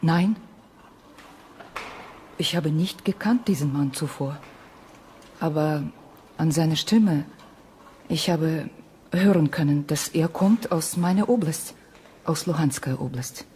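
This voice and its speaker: Guarded Ukrainian witness, noisy background. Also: female voice, low-pitched, mellow. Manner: halting broken German, short answers. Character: guarded, loyal Ukrainian woman witness under questioning.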